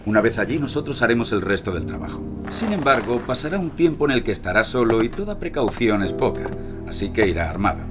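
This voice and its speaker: An actor playing a composed, methodical investigator, telling and briefing in a measured, dramatic audio-drama style. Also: male voice, mid-range voice, sing-song, music in the background, lightly acted. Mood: briefing, businesslike. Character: composed, methodical male investigator narrating his operation.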